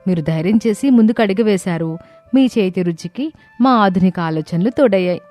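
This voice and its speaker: A woman's voice: medium pitch, lively intonation, a little fast, warm tone, faint music behind, lightly acted. Woman playing a dutiful, firm young daughter-in-law. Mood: encouraging.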